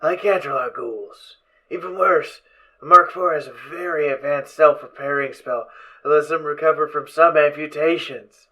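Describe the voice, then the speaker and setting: A man playing a distracted, brainy ghoul pony scientist in an amateur audio drama, lecturing in a brisk, absorbed way. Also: a little high, sharp tone, low-quality recording. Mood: grim storytelling.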